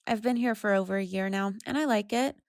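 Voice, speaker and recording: A woman's voice: medium pitch, fairly quick, a little mellow, no room sound, clean studio sound, natural voice. An actress playing a troubled, candid woman in her twenties, confessing in a subdued way in an audio drama. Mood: reflective, confessional. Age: 20s. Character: troubled, candid young woman recovering from anorexia. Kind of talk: audio drama monologue and dialogue.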